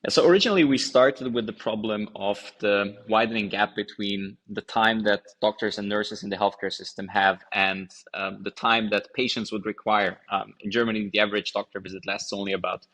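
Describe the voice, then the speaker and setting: A low-voiced man in his thirties, originally from Hungary. He speaks as a founder in an interview, explaining his work in full answers. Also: steady tone, a little fast, hardly any pauses, a bit bright. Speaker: company founder and CEO, interview guest.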